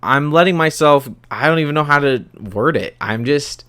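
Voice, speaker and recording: A man's voice: a little high, animated, normal speed, a bit bright. Man rambling through a solo podcast monologue. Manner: hesitant and rambling, often repeating and restarting phrases. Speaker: podcast host.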